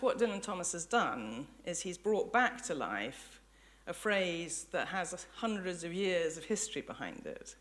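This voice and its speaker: Reflective English woman giving a lecture. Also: sits low. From England. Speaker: lecturer, professor. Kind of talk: public academic lecture. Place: lecture hall before an audience.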